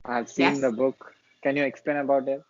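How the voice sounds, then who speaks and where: A muffled, lo-fi recording of a man. He hosts a casual online interview, speaking haltingly and often repeating a word or phrase before moving on. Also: medium pitch, flat delivery, no room sound. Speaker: interviewer, YouTube host. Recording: muffled lo-fi online call audio.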